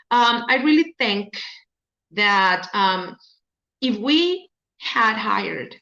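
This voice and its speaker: A woman's voice: medium pitch, pushed and bright. Mexican-accented woman, football podcast host, loose rambling delivery with lots of ums, slightly dull lo-fi audio. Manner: rambling, thinks aloud with many fillers. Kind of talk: casual sports talk.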